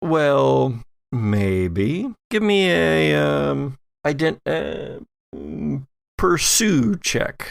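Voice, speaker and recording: A male game master narrates a tabletop horror game. He speaks slowly and deliberately, with pauses and a gravelly edge, in a dry recording. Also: on the high side, animated, dry recording. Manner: deliberate, pausing. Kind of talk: narrating a tabletop audio drama.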